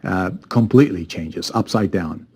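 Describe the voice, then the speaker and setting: Man from afghanistan, executive in an interview, lower voice, emphatic. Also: normal speed, a little mellow, slightly rough. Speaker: company chief executive. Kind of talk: interview answers.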